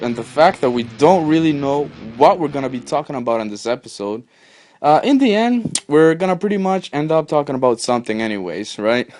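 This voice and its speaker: A man's voice: fairly high pitch, a little mellow. A man in his twenties from Honduras, hosting a casual solo podcast and rambling with many fillers and restarts, recorded on his phone. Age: 20s. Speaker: podcast host. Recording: dry phone recording with faint music.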